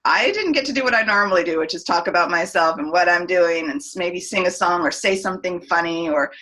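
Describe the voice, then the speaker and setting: Woman singer on a podcast, bright kinda low voice, lots of restarts and "like"s. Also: a little fast. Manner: reflective storytelling with many restarts. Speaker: guest, singer and voice coach. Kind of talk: casual podcast interview.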